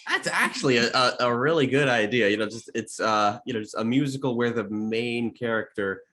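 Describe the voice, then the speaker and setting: A man chatting casually in a group, halting and often repeating his words as he goes. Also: medium pitch, pushed and bright.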